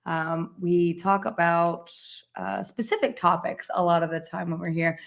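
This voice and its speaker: A woman's voice: lowish voice, steady tone, measured pace, creaky. A woman co-hosting a casual live stream, upbeat and keeping the show on track. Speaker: co-host.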